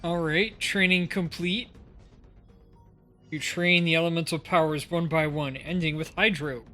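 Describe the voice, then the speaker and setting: A woman's voice: on the low side, fairly even intonation, unhurried, fairly bright tone, faint music behind. A woman playing an earnest, inquisitive companion in a fantasy video game, with a plain-spoken manner. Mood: earnest, curious. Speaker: game character voice actor. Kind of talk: scripted video game dialogue.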